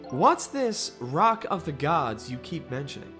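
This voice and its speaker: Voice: medium pitch, big pitch swings, unhurried, a bit bright. A performer voicing a wry, composed spirit detective in a game fan dub, with a dry, teasing, reflective manner. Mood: wry, curious. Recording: music behind the voice.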